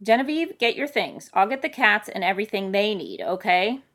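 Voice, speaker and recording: A woman's voice: mid-range voice, lively intonation, moderate pace, a bit bright, lightly acted. A woman voicing an exasperated, caring male neighbor with an emphatic, impatient manner in a story reading. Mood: decisive. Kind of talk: audiobook character voice.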